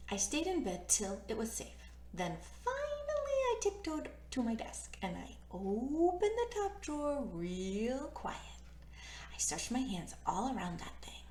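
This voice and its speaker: A woman's voice: a little high, darkish tone. A woman hosting a children's storybook read-aloud, playful and informal as she chats to young listeners and narrates. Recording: roomy, echoey room sound.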